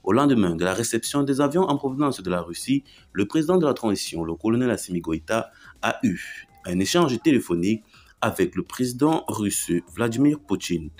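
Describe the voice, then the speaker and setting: A man reading a scripted news chronicle as presenter, formal and measured with emphatic phrasing. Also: lowish voice, lively intonation, a little mellow.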